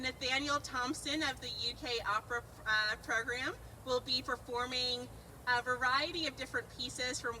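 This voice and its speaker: A woman's voice: high-pitched, bright, forceful tone. A woman from a community garden group, informally welcoming an audience outdoors and introducing the evening's event. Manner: upbeat, informal, a few ums and restarts. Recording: from a distance outdoors, light noise.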